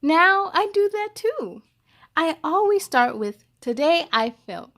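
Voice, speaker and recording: A woman's voice: very high-pitched, big pitch swings, slow, a bit bright, voice pushed up, exaggerated voice. A woman reading as a shy, earnest young schoolgirl in a storybook, confiding in simple phrases. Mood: nervous, sad. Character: shy, nervous, earnest schoolgirl narrating her storybook life.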